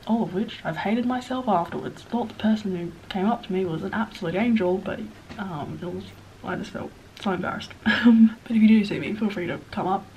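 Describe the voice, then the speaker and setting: A young Australian woman in her twenties chats to camera with a blocked-up, nasal voice from a cold. She rambles in a self-deprecating way and sometimes drops to a hushed whisper. A faint hiss sits under the recording. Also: medium pitch, brisk, lo-fi sound. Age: 20s. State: sniffly, stuffed-up nose. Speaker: vlogger. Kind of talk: casual Q&A chat to camera.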